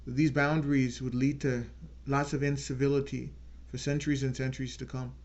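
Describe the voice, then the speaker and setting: A man hosting his own channel, giving an earnest unscripted political talk and slowing down to stress key words. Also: medium pitch, flat delivery, darkish tone, muffled recording. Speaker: channel host.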